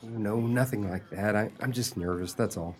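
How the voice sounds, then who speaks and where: A man's voice: fairly low pitch, moderate pace, slightly rough, soft background music. An actor playing a nervous, lovesick, agreeable young man in a radio play, earnest and stammering.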